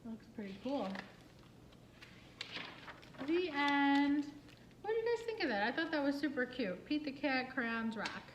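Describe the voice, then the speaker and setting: A woman reading a picture book aloud for children in an expressive, singsong way, with asides and questions to young listeners. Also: sits high, a little slow, pauses often, dull audio, background noise. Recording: roomy, echoing room sound.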